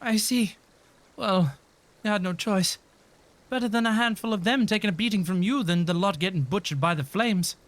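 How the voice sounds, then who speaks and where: A man's voice: sits high, moderate pace, close to an everyday voice. A man voicing a grave, anguished video game character, speaking in an earnest, formal, theatrical way. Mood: grave, pleading. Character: grave, anguished game character pleading against a futile cause. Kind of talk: dramatic read-aloud of video game dialogue.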